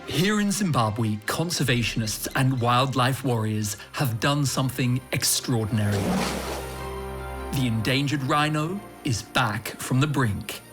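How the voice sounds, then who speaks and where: A man, a foreign correspondent giving measured, scripted documentary narration. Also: mid-range voice. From Australia. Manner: measured, earnest storytelling. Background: music.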